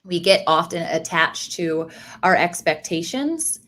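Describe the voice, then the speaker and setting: A woman's voice: fairly low pitch, lively intonation, measured pace, fairly bright tone. A woman hosting an interview podcast, speaking in an enthusiastic, affirming way. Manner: enthusiastic, affirming, faith-centered. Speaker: podcast host. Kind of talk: conversational interview.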